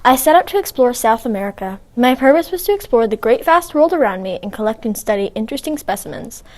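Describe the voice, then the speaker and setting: A woman's voice: fairly high pitch, lively intonation, quick pace, close to an everyday voice. Darwin, played by a young woman, earnest. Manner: earnest, reads with small restarts. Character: Charles Darwin, young male naturalist. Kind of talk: school-project role-play reading.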